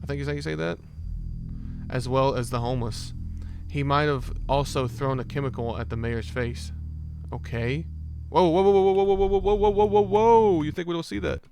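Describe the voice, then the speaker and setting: A man's voice: fairly high pitch, normal speed. A man giving casual, slangy reaction commentary, thinking aloud, exclaiming and reading text off a screen. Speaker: reaction video host. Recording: music playing behind the voice.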